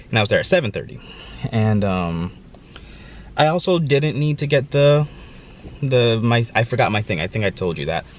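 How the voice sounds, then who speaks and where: A young man with a congested, stuffy voice talks casually to his camera, looping through his story with fillers and restarts. His voice sits fairly low. A faint ambient hum runs underneath. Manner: rambling, chatty storytelling with fillers. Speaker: vlogger. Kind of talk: casual vlog talk to camera.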